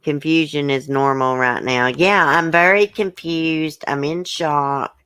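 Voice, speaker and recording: A woman's voice: low voice, slow pace, fairly bright tone, clean tone, dry recording. A woman hosting a livestream, upset and hurt, bluntly venting and reading viewer comments aloud in casual, swearing speech. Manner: emotional, blunt, colloquial, swears. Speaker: YouTube channel host.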